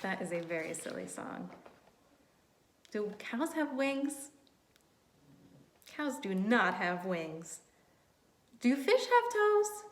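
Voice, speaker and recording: A woman reading a storybook aloud to young children, expressively, pausing to put questions to her listeners. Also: medium pitch, very animated. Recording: roomy, echoing sound.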